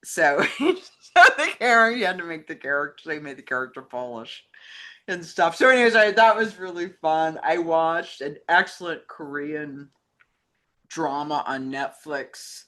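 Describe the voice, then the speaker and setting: Lady cohost on a film chat show, bright clear-cut voice, just talking casually. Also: low voice, animated, normal speed. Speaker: co-host fielding fan questions.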